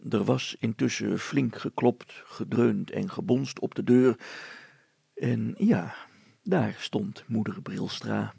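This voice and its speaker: A man narrating a children's story as an expressive storyteller. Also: lowish voice, slow, vocal fry, breathy edge, no room sound.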